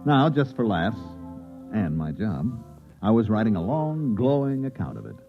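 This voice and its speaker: A man's voice: lowish voice, very animated, normal speed. A male actor playing a world-weary, wry newspaper reporter in a 1950s radio drama. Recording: muffled 1950s radio recording, music behind.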